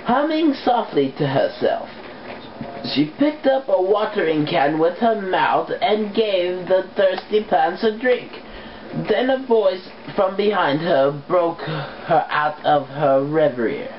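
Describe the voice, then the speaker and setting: A woman reading a story aloud as an amateur, halting and stumbling over harder words. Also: fairly low pitch, lively intonation, muffled recording, slight background noise. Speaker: amateur fan fiction reader.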